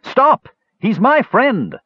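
A man voicing a thin, joking male elf with a playful, gently sarcastic, emphatic manner in an audiobook. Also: on the high side, wildly swooping pitch, fairly quick, breathy edge, dry recording, lo-fi sound, voice pushed up, exaggerated voice. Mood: alarmed shout. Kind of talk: audiobook character voice.

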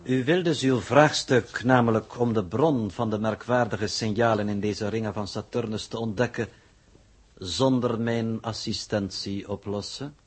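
An icy, smug impostor scientist in a vintage radio play. His low, husky voice moves slowly and formally, as if he has the upper hand. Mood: smugly composed. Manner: formal, deliberate, smugly superior. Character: cold, unflappable impostor scientist, taunting his captors with knowledge. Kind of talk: 1960s Dutch radio drama acting. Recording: muffled early 1960s radio recording.

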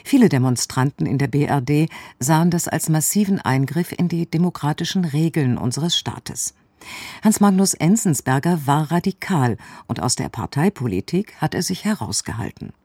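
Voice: medium pitch, expressive, normal speed, a little mellow, slightly rough, no room sound. A radio presenter giving a scripted introduction to an audio drama. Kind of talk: scripted narration.

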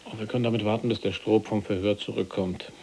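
A reserved, educated resistance fighter held in a prison cell, from a radio play. His voice is fairly low and husky. He chooses his words formally and precisely, with calm composure. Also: quick pace. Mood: calm, measured. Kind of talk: German radio drama dialogue.

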